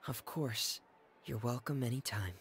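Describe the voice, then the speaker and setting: An actor playing a solemn, grateful young man in a video game, speaking in a measured, earnest way. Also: medium pitch, a little hiss or hum, natural voice. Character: solemn, grateful young man, loyal member of an orphan house. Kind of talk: scripted game dialogue.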